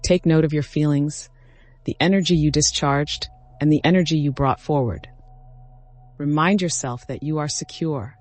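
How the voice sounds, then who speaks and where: Higher-voiced man guiding a meditation. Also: moderate pace, no echo. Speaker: meditation guide. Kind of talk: scripted guided meditation narration. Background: background music.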